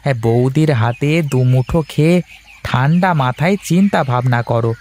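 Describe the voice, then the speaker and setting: A man's voice: medium pitch, normal speed, darkish tone, slight background noise, natural voice. Man playing a humble, hesitant cartoon tea seller. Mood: sympathetic, advising. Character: sympathetic village-style male tea seller, humble, deferential. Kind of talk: Bengali cartoon voice acting.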